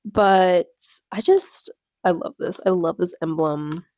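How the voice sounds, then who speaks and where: A woman's voice: fairly low pitch, slow, warm tone, dry recording, studio quality. A young woman with a stuffy nose from a cold, rambling casually in a reading vlog, full of "like" and fillers. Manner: rambling, filler-heavy, lots of "like". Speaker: book vlogger. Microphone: clip-on or small personal microphone.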